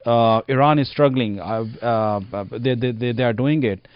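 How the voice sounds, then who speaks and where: A man's voice: medium pitch, measured pace, smooth, no echo. A Pakistani-accented man, a native Punjabi speaker, talks earnestly as a radio guest, stumbling and restarting as he explains. His voice sits mid-range and is recorded dry and close, with a slightly dull, muffled sound. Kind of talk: radio interview.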